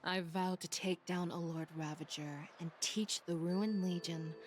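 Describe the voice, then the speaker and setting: A woman's voice: on the low side, steady tone, unhurried, natural voice. A woman voicing a stern, resolute warrior general in a video game, speaking formally and solemnly. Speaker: game character voice actor. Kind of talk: dramatic game voice acting. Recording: music behind the voice.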